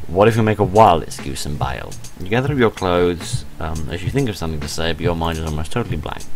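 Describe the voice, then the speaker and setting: Deep, animated British man narrating a game. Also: quick pace. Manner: expressive, deadpan sarcastic asides. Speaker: let's play host. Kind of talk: reading a visual novel aloud with wry asides. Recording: music behind the voice. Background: game music.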